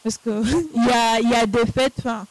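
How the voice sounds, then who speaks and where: A woman's voice: a little high, steady tone, normal speed, poor audio. A young woman student, a guest speaking hesitantly in a casual discussion. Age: young adult. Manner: hesitant, with many fillers and restarts.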